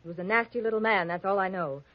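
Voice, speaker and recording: A woman's voice: mid-range voice, fast, close to an everyday voice. An actress as a curt young woman in trouble. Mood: brisk. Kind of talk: 1940s radio drama acting. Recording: muffled old radio broadcast.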